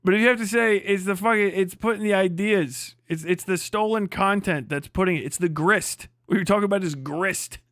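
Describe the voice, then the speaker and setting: A man's voice: sits high, a little fast, hardly any pauses, a bit bright, no room sound, studio quality. A man livestreaming casual reaction commentary, ranting off the cuff in a profane, mocking, rambling way. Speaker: livestream host.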